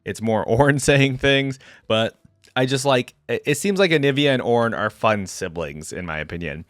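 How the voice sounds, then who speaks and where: A man's voice: mid-range voice, animated, normal speed, a bit bright, dry recording, studio quality. A man hosting a reaction video, giving casual, informal commentary as he thinks aloud.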